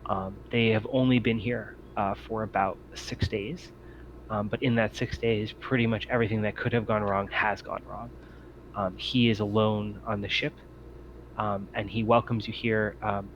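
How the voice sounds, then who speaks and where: A man's voice: lowish voice, steady tone, normal speed, a bit bright. A man narrating a tabletop roleplaying game as game master, feeling his way through phrases with frequent ums. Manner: hesitant, piecemeal phrasing with many ums. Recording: muffled lo-fi audio with background noise.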